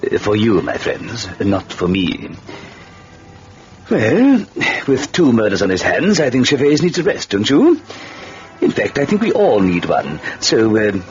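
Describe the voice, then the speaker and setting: An actor playing the Devil as a genial, sardonic storyteller, chatting wryly to listeners in hesitant asides on an old radio horror drama. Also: male voice, lowish voice, huge pitch swings, measured pace. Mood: amused, sly. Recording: muffled old radio recording, music behind.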